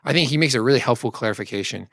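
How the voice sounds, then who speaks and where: A man's voice: medium pitch, rapid-fire, creaky, fairly bright tone, dry recording. Man co-hosting a discussion, dry close sound. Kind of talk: conversational discussion of a book.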